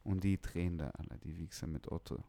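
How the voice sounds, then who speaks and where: A man's voice: low voice, fairly even intonation, fast, dark tone, dry recording. A young man from northern Germany chatting casually about music, slangy, blunt and opinionated. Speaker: music producer, podcast guest.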